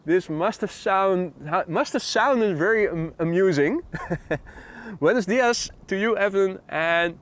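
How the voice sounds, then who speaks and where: A man's voice: high-pitched, takes it slowly, a bit bright. A man with a Dutch accent hosting a live plane-spotting stream outdoors, chatting casually with viewers and laughing often. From Netherlands. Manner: chatty, laughs often, reads chat aloud. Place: outdoors at an airport.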